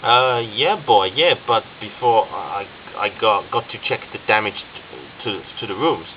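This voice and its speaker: A man's voice: on the low side, lively intonation, a little slow. Brief: scolding older landlady in broken English, voiced by a male narrator. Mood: hesitant. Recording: slightly dull with faint hiss.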